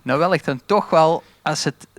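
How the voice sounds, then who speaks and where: A man co-hosts a podcast in a Limburgish Dutch accent. His voice is a bit higher than average and recorded very dry. He chats informally and haltingly, pausing as he goes. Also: no echo. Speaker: podcast co-host. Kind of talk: casual chat.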